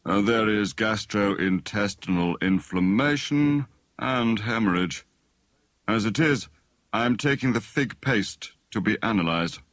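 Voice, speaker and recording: Man acting grave, deliberate elderly doctor. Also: lowish voice, lively intonation, a bit bright, no echo, clean studio sound. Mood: grave, measured. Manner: formal, deliberate, clinical. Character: kind, worried elderly village doctor, grave and measured.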